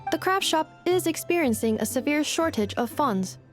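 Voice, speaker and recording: A composed young female robot assistant from a video game, with a high voice. She is polite and precise, giving formal, measured explanations. Faint music sits behind her. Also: lively intonation. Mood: matter-of-fact.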